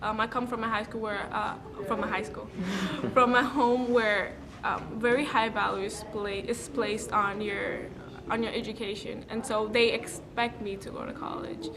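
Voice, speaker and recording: A young woman in her late teens from the Dominican Republic, answering interview questions earnestly and reflectively, pausing to gather her thoughts. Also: on the high side, steady tone, a crowd in the background. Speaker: student interviewee. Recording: noisy background hiss.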